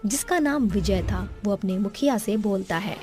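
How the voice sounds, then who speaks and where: A woman's voice: medium pitch, expressive, normal speed, warm tone. A woman reading scripted narration for a cartoon horror story. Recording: background music under the voice.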